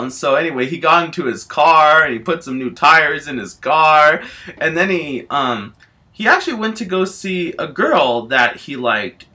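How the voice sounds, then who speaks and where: Male amateur storyteller: bright, slightly high voice, rambling off-the-cuff comic tale, excitable and repetitive. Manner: excitable, giggly, repetitive filler phrases like "you know" and "all that stuff". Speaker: amateur storyteller in a homemade video.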